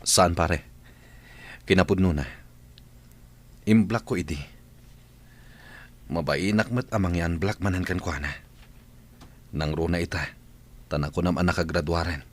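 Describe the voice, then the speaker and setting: A man plays a remorseful young father in a radio drama. His voice sits low, and he speaks in a heavy, subdued manner full of regret. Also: a little slow, pauses often. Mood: regretful, subdued.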